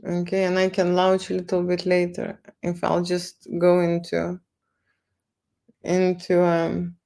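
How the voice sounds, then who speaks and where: Slow, gravelly Lithuanian-accented woman. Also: fairly low pitch, completely flat delivery, warm tone. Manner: halting, repeats words, tag questions like okay and right. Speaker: photo studio owner livestreaming. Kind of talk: casual livestream talk to viewers.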